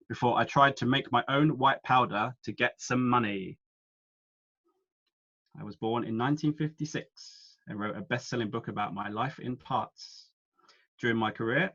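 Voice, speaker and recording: A man of ordinary pitch hosts a quiz, reading every clue out slowly and then a second time. His voice arrives over a video call, slightly dull and lo-fi. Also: sharp tone. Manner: reads each clue slowly twice. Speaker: quiz host.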